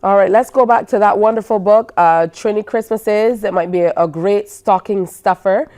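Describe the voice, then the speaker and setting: A woman's voice: medium pitch, moderate pace, darkish tone. A woman hosting a morning TV show, asking a guest chatty questions with plenty of filler words. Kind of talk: interviewing a guest.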